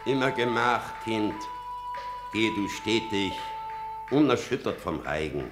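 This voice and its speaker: A man's voice: fairly low pitch, lively intonation, slow, music in the background. A man playing a grave, cautioning old Theban elder in a verse radio drama.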